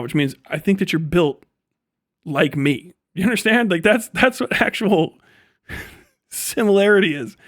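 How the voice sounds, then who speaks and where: A man's voice: high voice, dry recording, studio quality. A man giving a casual livestream rant as host, swearing freely in slangy, sarcastic talk and often laughing as he speaks.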